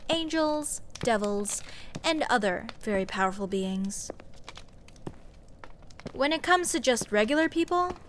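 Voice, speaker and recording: A woman playing a snarky, nitpicking sorceress in a comedic fantasy audio roleplay, sarcastic and exasperated as she rambles. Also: a little high. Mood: scoffing, smug. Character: snarky, nitpicking sorceress adventurer, scoffing at absurdity. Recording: clear background ambience noise, clicks. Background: dungeon ambience.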